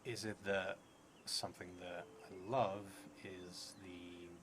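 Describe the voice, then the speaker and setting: A man's voice: low-pitched, steady tone, slow. A man in his thirties improvising a story aloud for a solo tabletop game, hesitant and musing, often trailing off. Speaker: solo tabletop roleplay player and streamer. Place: indoors at a table in a theatre room. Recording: clearly noisy room recording.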